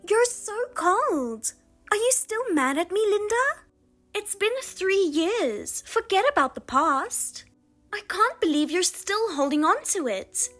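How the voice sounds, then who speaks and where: A woman plays a husband-stealing younger sister in a voiced drama, smug and taunting, her high voice leaping all over as she drags out her words. Music plays underneath her. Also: big pitch swings, a bit bright, exaggerated voice. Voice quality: high and sing-song, taunting. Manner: mocking, self-satisfied, drawn-out teasing.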